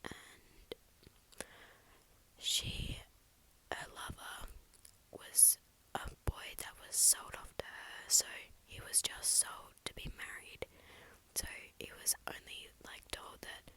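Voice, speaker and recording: A woman with a cold, whispering softly and haltingly into a close mic for an ASMR poem reading. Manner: soft whisper, halting with fillers. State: congested with a cold, snuffly. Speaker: ASMR creator.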